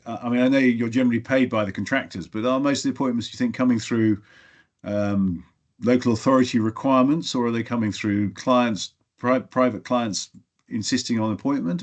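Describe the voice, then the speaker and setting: A middle-aged man hosts an industry podcast with a mid-pitched voice. He is affable and conversational, sometimes tripping over a word as he puts his questions. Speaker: podcast host. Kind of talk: interview hosting.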